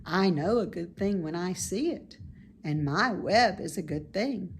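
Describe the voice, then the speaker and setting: Woman as a slow-spoken, wise lady spider. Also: on the low side, lively intonation, slight background noise. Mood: contented, philosophical. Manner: measured, instructive. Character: wise, calm, protective female spider, self-assured. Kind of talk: children's storybook read-aloud.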